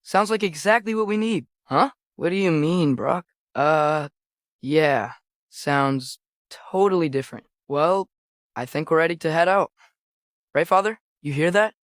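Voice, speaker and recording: A man's voice: a little high, a little slow, fairly bright tone, no room sound. Game role: eager, sincere teen boy, godly lineage, youthful and conversational, close dry sound. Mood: curious, eager. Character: earnest, eager teenage boy adventurer, son of a god. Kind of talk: video game character acting.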